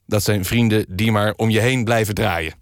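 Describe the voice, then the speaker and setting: A man presenting a mock-serious radio magazine in a deadpan manner. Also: fairly low pitch, moderate pace, dry recording, clean studio sound. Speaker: radio host. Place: radio studio.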